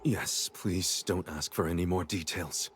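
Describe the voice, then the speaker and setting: A man playing a guilt-ridden, haunted captive engineer in a video game, speaking in a halting, anguished, dramatic way. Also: low voice, steady tone, a little hiss or hum. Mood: guilty, anguished.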